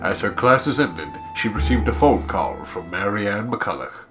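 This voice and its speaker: A man's voice: on the low side, lively intonation, measured pace, over music. A man co-hosting a let's play, commenting casually with wry, joking banter. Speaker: YouTube let's play co-host.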